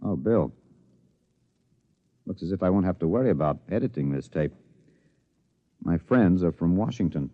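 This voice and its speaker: An actor playing an excitable, driven newsman in a 1950s radio drama, speaking urgently and emphatically. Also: deep voice, moderate pace, pauses often. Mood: resigned. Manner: urgent, emphatic, stammering when flustered. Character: excitable male radio newsman, driven, turning wearily resigned. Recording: dull, roomy 1950s radio recording.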